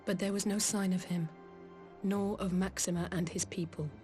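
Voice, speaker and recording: Headstrong young heroine for a fantasy game, British, brisk and determined played by an actress, music underneath. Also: female voice, medium pitch, steady tone, mellow, natural voice. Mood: concerned. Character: headstrong, impatient young woman adventurer. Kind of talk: dramatic video game dialogue.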